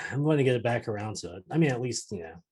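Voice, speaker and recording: A man's voice: mid-range voice, animated, quick pace, a little mellow, breathy edge. A man co-hosting a casual film discussion, chipping in with wry, joking remarks. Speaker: co-host of a film discussion show. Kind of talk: casual chat.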